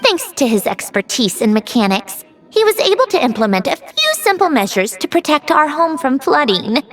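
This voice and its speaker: A woman playing a self-assured young female mechanic in a video game, brisk and wry with a hidden tenderness. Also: high-pitched, very animated, a bit bright. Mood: wistful. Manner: brisk, instructing, wry, reflective. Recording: music behind the voice.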